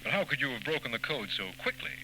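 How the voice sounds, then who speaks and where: A man's voice: medium pitch, very animated, quick pace, pushed and bright. An actor playing a plainspoken, earnest lawman who questions a detective in a 1940s radio drama. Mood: puzzled, curious. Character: businesslike male lawman, puzzled straight man to detective. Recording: noisy old radio recording with hum.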